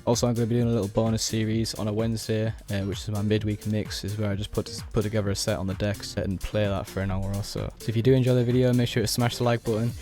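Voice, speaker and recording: Hoarse young man, flat and low. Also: fast talker, few pauses, faint music behind. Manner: laid-back, explains step by step with many fillers. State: hoarse, losing his voice. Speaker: music producer. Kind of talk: casual software tutorial.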